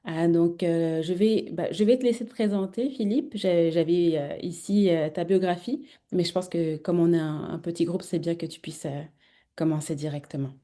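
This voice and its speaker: Hesitant woman hosting a webinar, dark voice. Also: fairly low pitch, fairly even intonation, normal speed. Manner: hesitant, many fillers. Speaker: webinar host. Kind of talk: introducing a guest. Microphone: video call.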